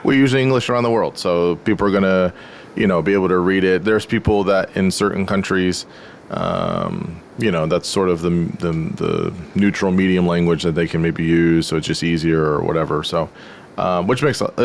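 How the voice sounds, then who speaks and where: Deep gravelly American host. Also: male voice, normal speed, a little hiss or hum. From United States. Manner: rambling, loosely phrased questions. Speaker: podcast host. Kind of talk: interview questions, casual.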